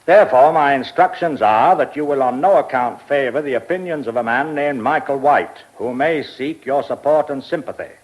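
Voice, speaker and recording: An actor with a British accent playing an eccentric, brusque lordly press baron in a radio drama, speaking in clipped, mumbling phrases. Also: male voice, medium pitch, close to an everyday voice, low-quality recording. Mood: imperious.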